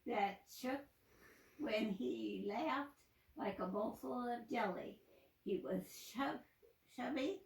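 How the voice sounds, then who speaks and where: An older woman reading a poem aloud in a gravelly, unhurried voice. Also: a little high. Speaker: care home resident reading aloud. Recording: roomy, distant microphone.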